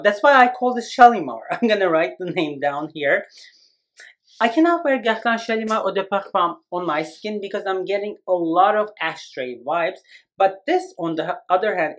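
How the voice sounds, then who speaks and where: A man in his thirties from Turkey, giving an animated, expressive perfume review to camera in a small room. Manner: animated, expressive, lively swings in pitch and volume. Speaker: fragrance reviewer. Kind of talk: casual video review. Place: small indoor room against a plain wall.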